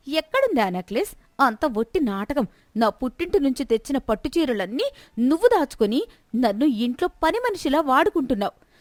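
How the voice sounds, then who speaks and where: A woman's voice: sits high, no echo, pitched above the performer's own voice. A woman voicing a feisty, two-faced young village daughter-in-law in a theatrical story style.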